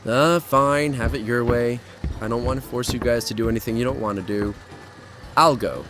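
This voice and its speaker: A man's voice: mid-range voice, normal speed, natural voice. A man acting as a cocky, daring young urban explorer in a horror audio drama, with a breezy, cajoling, self-assured way of talking.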